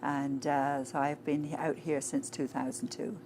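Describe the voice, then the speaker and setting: Woman as an interview guest, low voice, chatty with ums, faint shop ambience. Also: little pitch movement, a little slow, darkish tone, slight background noise. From England. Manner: conversational, many fillers. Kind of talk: casual interview answers. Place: inside a flying saucer-themed shop.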